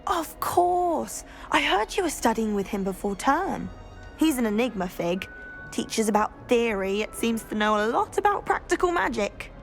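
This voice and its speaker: An earnest teenage British schoolgirl in a video game. Her voice is high and lilting, with lively intonation. She is eager and chatty, and faint music plays behind her. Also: moderate pace, creaky. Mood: eager, friendly. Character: earnest, plant-loving teenage schoolgirl named Samantha. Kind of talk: video game dialogue acting.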